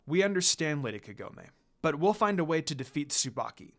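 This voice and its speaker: Guy doing a polite young man in a story, reassuring, fairly high and lively. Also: a little fast. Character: courteous, reassuring young male companion. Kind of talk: dramatic story reading.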